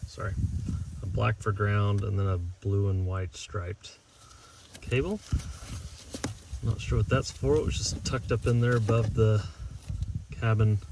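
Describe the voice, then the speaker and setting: A man's voice: on the low side, creaky, a little mellow, a little hiss or hum. A man giving a casual, unscripted how-to as a DIY vlogger, thinking aloud as he goes. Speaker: DIY vlogger installing a car radio.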